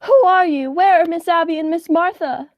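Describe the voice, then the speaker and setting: High-voiced, indignant young sweetheart played by teenage girl. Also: moderate pace, dull audio. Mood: uneasy. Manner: dramatic, insistent. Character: spirited, indignant young woman, alarmed sweetheart. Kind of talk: amateur radio play acting.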